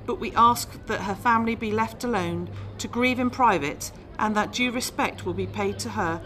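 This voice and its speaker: A woman's voice: mid-range voice, moderate pace, a bit bright, a little hiss or hum, natural voice. A woman playing a sombre, formal news editor reporting live in a radio drama. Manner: formal, solemn.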